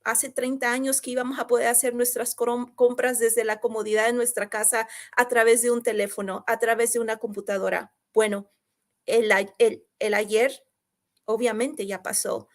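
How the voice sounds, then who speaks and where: A woman hosting an online group video call, giving an upbeat, encouraging business pitch with frequent restarts. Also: flat delivery, moderate pace. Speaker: host and business coach.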